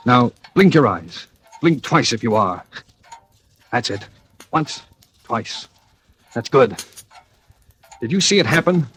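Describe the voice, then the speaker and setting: A man's voice: medium pitch, very animated, takes it slowly, long pauses, a bit bright, breathy edge, full character voice. An actor playing a desperate amnesiac man wanted for murder in a 1940s radio thriller, urgent and insistent, on an old radio recording. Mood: coaxing, hushed. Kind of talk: 1940s radio thriller acting with first-person narration.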